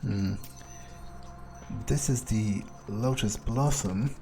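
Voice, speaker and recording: A man with a dark, mellow voice thinks aloud as his game character. He talks slowly and deliberately, pausing as he muses, with faint music behind him. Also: lowish voice. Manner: musing, unhurried, deliberate pauses. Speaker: let's player.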